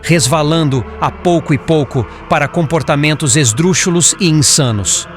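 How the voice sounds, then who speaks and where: A man's voice: fairly high pitch, moderate pace, soft background music, natural voice. A man reading the part of a solemn, compassionate spirit mentor in an audiobook, with formal, elevated diction. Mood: grave, explanatory. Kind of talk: scripted audiobook character reading.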